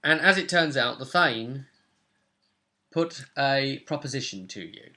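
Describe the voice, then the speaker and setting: A man's voice: medium pitch, unhurried, sharp tone, slight background noise. A man in his twenties casually recapping a tabletop role-play session as game master, recorded on a webcam in a room at home. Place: small domestic room indoors.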